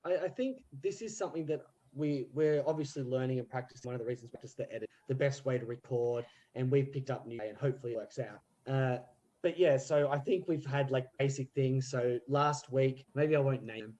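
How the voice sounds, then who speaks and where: A man in casual podcast chat about theatre, telling stories in an animated way and laughing often. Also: medium pitch. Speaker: theatre group member.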